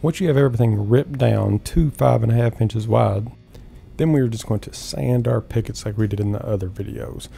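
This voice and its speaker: Low, gravelly man explaining woodworking. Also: normal speed, soft background music. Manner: calm, step-by-step explaining. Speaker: woodworking host. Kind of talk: instructional voice-over.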